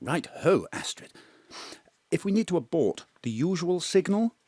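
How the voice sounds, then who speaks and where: An actor playing a posh, suave, devoted gentleman spy chief with an eager, flirtatious manner in a comic audio drama. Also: a little high, big pitch swings, measured pace, dry recording, clean studio sound. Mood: devoted, wistful.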